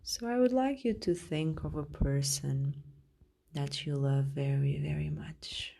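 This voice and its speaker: A woman leading a guided meditation in a gentle, soothing, unhurried way with long pauses, her voice soft and at times breathy. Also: low-pitched. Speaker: meditation guide, podcast host.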